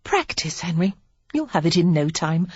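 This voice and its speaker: A woman's voice: fairly low pitch, very animated, moderate pace, darkish tone, breathy edge, no echo, dull audio. A woman reading the part of a witty, confident young woman who teaches card tricks, in a teasing, playful way.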